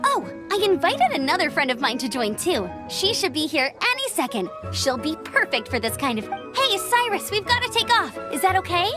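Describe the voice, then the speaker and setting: A woman's voice: extremely high pitch, sing-song, moderate pace, few pauses, over music. A woman playing a perky, friendly and eager young female adventurer in a video game. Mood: upbeat.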